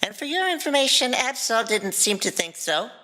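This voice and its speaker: A woman's voice: fairly high pitch, big pitch swings, moderate pace, sharp tone, voice pushed up. A woman voicing a cocky, teasing teenage boy with smug, good-natured sarcasm in a fan fiction reading. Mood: smug, mocking.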